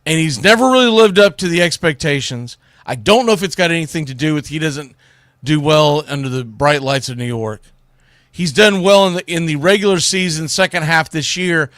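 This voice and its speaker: A man's voice: fairly high pitch, normal speed, a bit bright, dry recording. A man hosting a sports radio show, giving blunt, opinionated, unscripted commentary with emphatic phrasing.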